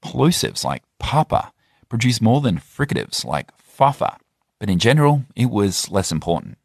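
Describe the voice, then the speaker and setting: Guy reading news narration, lowish husky voice, lots of up and down in it. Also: big pitch swings, normal speed, no echo, clean studio sound. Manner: clear reporting tone, linking interview clips. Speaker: reporter narrating a report. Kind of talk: scripted narration.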